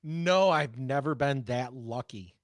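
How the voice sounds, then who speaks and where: A man's voice: mid-range voice, unhurried, dry recording. A man co-hosting a talk show, speaking casually and telling stories in a conversational way. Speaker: talk radio co-host, former TV news worker. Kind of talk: casual chat and storytelling.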